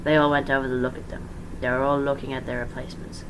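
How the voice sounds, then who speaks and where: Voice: medium pitch, little pitch movement, quick pace. An amateur narrator giving a dramatic story reading, informal, stumbling over words and correcting along the way. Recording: faint background noise.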